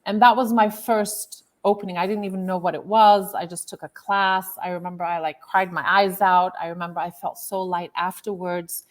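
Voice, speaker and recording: A woman's voice: fairly low pitch, steady tone, normal speed, few pauses. A woman from Turkey in her thirties, a guest coach telling her life story in a loose, chatty way with many fillers. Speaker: guest, author and transformational coach. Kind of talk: casual interview chat.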